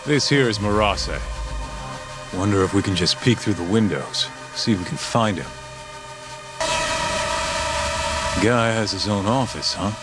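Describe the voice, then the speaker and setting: An actor playing a streetwise, sarcastic but cautious sidekick in a video game, bantering in slangy, skeptical style. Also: male voice, on the low side, animated, moderate pace, loud music behind the voice, natural voice. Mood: casual, grumbling. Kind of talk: English game dub acting.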